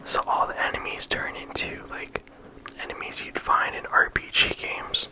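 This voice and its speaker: An ASMR creator whispering softly throughout, talking casually with frequent ums and likes into a close microphone. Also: normal speed, poor audio. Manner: soft unvoiced whisper throughout. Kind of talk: whispered ASMR game discussion.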